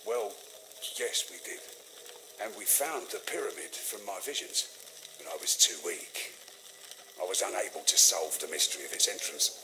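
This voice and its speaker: Dying middle-aged explorer for a game drama: low, haunted, halting delivery, noticeable sizzle behind. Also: male voice, lively intonation, bright, forceful tone, a little hiss or hum, full character voice, low-quality recording. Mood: regretful.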